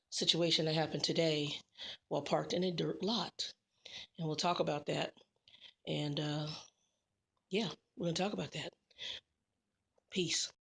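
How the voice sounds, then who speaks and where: A woman truck driver vlogging in a casual, rambling way, repeating herself and calling her viewers y'all. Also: low voice. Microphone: phone.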